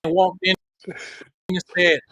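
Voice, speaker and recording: A man's voice: high-pitched, little pitch movement, unhurried, pushed and bright, husky, rough recording. A man hosting a casual interview over a video call from home, swearing freely, apologizing, and joking around. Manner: profane, apologetic, loose and joking. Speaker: host. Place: home setup in Florida.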